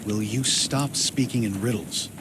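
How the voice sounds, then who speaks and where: A man's voice: lowish voice, measured pace, natural voice. Solemn, troubled man an actor over rain. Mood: brooding. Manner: solemn, brooding. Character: devout, troubled male hero, prayerful and searching. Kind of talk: video game character monologue. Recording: clear rain and ambient noise behind.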